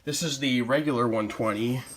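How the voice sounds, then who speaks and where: A man's voice: mid-range voice, normal speed, fairly bright tone, slight background noise. Casual American man showing off gear. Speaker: hobbyist collector presenting his radios. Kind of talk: casual product show-and-tell.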